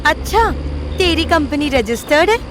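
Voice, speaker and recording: A woman's voice: high voice, expressive, normal speed, background noise. A woman playing an irritable, scornful young detective in an audio drama, speaking curtly with English mixed in. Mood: irritated, scornful. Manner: curt, scornful, mixing in English. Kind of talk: acted audio drama dialogue.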